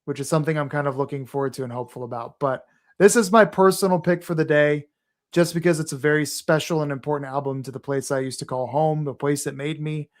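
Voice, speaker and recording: A man's voice: a little high, quick pace. A man from Texas, a podcast guest talking casually and earnestly, rambling with fillers and restarts.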